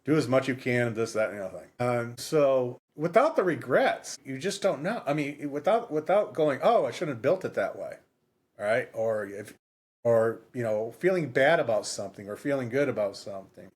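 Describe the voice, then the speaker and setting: Male podcast co-host, fifties, average pitch, casual and rambling, leans on emphatic repetition. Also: animated, normal speed, breathy edge. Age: 50s. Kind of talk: casual book discussion.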